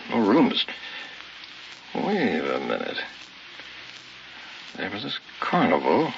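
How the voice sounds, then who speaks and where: Confused, groggy husband, slow gravelly low mutter; radio horror, distant and noisy. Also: male voice, wildly swooping pitch, exaggerated voice. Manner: muttering, terse thinking aloud. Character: groggy, confused husband waking buried in darkness. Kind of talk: old-time radio horror monologue. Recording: distant, muffled, noisy vintage radio recording.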